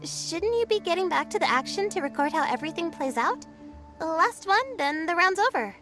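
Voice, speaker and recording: High-voiced, pensive game girl. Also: expressive, normal speed, over music. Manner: musing, hesitant. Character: gentle, pensive girl, thoughtful observer. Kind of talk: anime-style video game voice acting.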